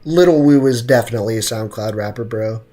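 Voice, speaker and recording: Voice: mid-range voice, fast talker, dark tone. A game streamer chatting casually with viewers in a slangy, joking, mock-boastful way. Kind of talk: casual gaming livestream commentary, chatting with viewers.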